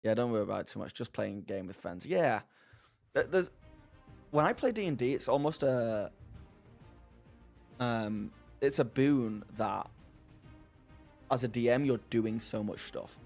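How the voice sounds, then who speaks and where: Male streamer, mid-pitched, chatty and self-mocking, faint music underneath. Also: expressive, unhurried, creaky, pauses often, a little mellow. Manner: rambling, self-deprecating, laughs often. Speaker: streamer hosting a live chat. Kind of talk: casual live-stream chat, answering viewers.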